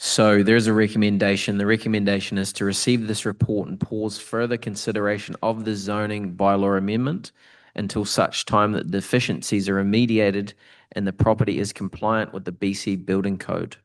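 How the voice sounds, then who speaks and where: A man's voice: lowish voice, level tone, moderate pace. A man, chairing a council meeting in a procedural, matter-of-fact way.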